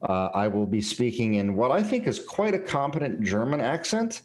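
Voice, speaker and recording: A man's voice: mid-range voice, sing-song, moderate pace. A young man chatting casually as a tabletop game player, hesitant with many fillers and restarts. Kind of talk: casual chat about a tabletop character.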